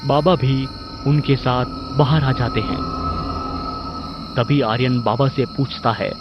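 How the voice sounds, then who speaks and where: Male storyteller, scripted horror narration, slow and measured, music bed underneath. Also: medium pitch, fairly even intonation, dull audio.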